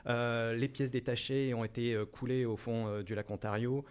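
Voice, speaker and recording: Man, interview guest, middle pitch, unscripted explanation, very halting, frequent fillers, repeated words. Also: level tone, smooth. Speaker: interview guest, operations director in aerospace.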